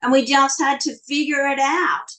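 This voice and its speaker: A woman with a strong Australian accent speaking on a live online panel, telling animated, self-deprecating stories. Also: high-pitched, normal speed.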